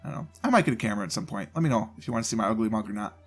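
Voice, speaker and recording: A man's voice: fairly low pitch, lively intonation, rapid-fire, slightly rough, soft background music. A man with a cold and a stuffed-up voice, rambling casually through a channel update from his home desk mic. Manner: rambling, loose, self-interrupting. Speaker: gaming channel host. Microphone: home desk mic, voice only.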